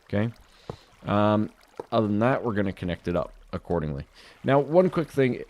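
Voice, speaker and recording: A young man with a deep, low voice talks his viewers through a modded Minecraft playthrough in a relaxed, chatty way. His throat sounds a little dry and scratchy. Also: normal speed. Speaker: gaming video host. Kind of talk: casual Minecraft modpack commentary.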